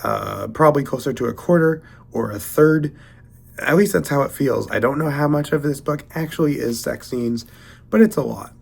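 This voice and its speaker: A man's voice: medium pitch, moderate pace, vocal fry, slightly rough. A man getting over a cold, giving a casual spoken book review in a hesitant, rambling way as he searches for words. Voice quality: slightly stuffy voice.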